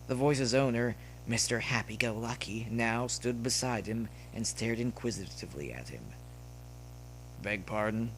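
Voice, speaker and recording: A man's voice: medium pitch, moderate pace, hardly any pauses, slight background noise, poor audio. A man reading a scripted story aloud as an audiobook narrator. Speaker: audiobook reader of fan fiction.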